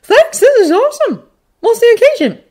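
Lady doing a eager teen boy for a story, way high pitched, kinda bashful, rambles and trips over words, bouncy. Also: normal speed. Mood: cheerful, grateful. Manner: hesitant, rambling when excited.

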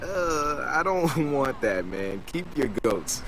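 A man's voice: on the high side, very animated, takes it slowly, fairly bright tone, slight background noise, exaggerated voice. An actor playing a playful, upbeat young male hacker with casual, teasing delivery in a video game. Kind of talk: video game dialogue acting.

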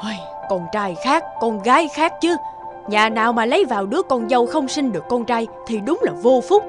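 A woman acting a high-voiced, exclamatory old grandmother. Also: expressive, a little fast, lightly acted. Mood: indignant. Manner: scolding, exclamatory. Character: stern, doting old grandmother who favours her grandson. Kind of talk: cartoon voice acting. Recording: music behind the voice.

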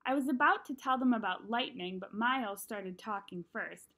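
A woman's voice: on the high side, normal speed, slightly rough. A woman in her thirties reading a children's novel aloud expressively, at home into a computer's microphone. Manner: expressive reading, animated in dialogue. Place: small room at home, indoors.

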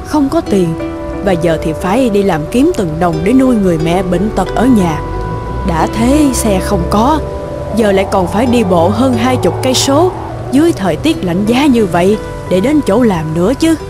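A woman's voice: mid-range voice, animated, normal speed, lightly acted. A woman playing a kindly, motherly middle-aged housekeeper in a cartoon, caring and deferential. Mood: pitying. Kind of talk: cartoon dubbing. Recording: music loud behind the voice.

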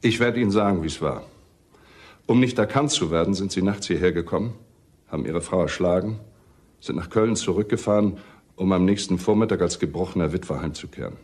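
An actor playing a relentless, sardonic police detective in a crime radio drama, deliberate and accusing with dry irony. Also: male voice, deep voice, a little mellow, natural voice. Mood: methodical pressing.

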